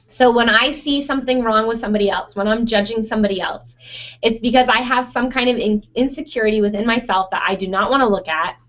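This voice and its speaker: A young woman who runs a photo studio, answering listener questions in a chatty, casual way with frequent fillers and laughs, her voice going hoarse. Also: a little high. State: losing her voice. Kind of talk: casual Q&A monologue. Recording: dull lo-fi sound.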